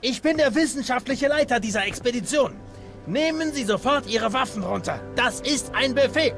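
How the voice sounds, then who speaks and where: High-voiced, learned German scientist. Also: male voice, expressive, moderate pace. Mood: indignant. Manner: formal, precise, grandiose. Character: learned, proud German scientist, expedition leader. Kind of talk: video game cutscene acting. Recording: loud music behind the voice.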